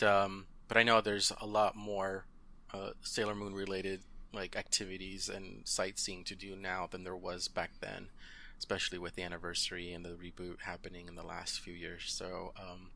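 A man's voice: low-pitched, completely flat delivery, normal speed, creaky, sharp tone, slight background noise. A man in his thirties whose first language is Spanish, chatting as a podcast guest in a hesitant way, full of fillers and easy laughter. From Southern California. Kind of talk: casual interview chat.

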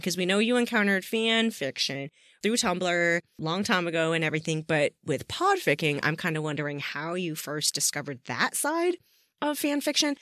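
A woman's voice: medium pitch, fairly bright tone, no echo. Female podcast host, gushing and giggly, informal interview chat, close dry sound. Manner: effusive, giggly, enthusiastic. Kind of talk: casual chatty interview.

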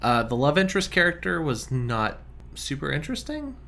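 A man's voice: a little high, big pitch swings, fairly quick, creaky, bright, forceful tone. A man co-hosting a podcast in casual chat, with a dry, hesitant delivery that trails off into short murmurs. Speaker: podcast co-host. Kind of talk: casual chat reviewing a film.

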